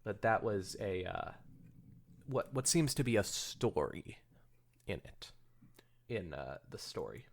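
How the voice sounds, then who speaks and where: A man with a lowish voice full of gravelly fry co-hosts a podcast. He talks casually and informally, breaking in with commentary and offhand asides. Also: sing-song, slow pace, long pauses. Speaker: podcast co-host.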